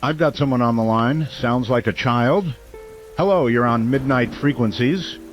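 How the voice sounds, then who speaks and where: A man's voice: mid-range voice, moderate pace, lightly acted. A man playing a weary, caring late-night radio host in a radio drama, speaking somberly and earnestly. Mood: resigned, tender. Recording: dull muffled radio sound over music.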